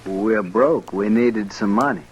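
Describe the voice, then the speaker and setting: An actor playing a gruff, resigned outlaw drifter with a rural American accent, blunt and plain-spoken, in an old radio western. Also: male voice, lowish voice, unhurried, natural voice. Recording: noisy vintage radio recording.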